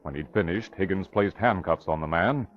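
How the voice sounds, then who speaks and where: A man's voice: sits low, normal speed. A man reading scripted narration for a 1940s radio crime drama. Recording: dull, faintly noisy old radio recording.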